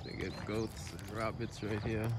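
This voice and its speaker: A man's voice: fairly low pitch, little pitch movement, measured pace. A man narrating a casual vlog as host, easygoing and slangy in his phrasing, recorded on a phone outdoors. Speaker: vlog host, flea market reseller. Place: outdoors at flea market and porch. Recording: noisy outdoor phone recording with insects, vehicles.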